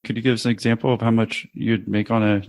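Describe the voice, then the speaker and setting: A man's voice: on the low side, level tone, fast talker, a little mellow. A man hosting an interview, asking casual questions in an informal, rambling way. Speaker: podcast interviewer, host.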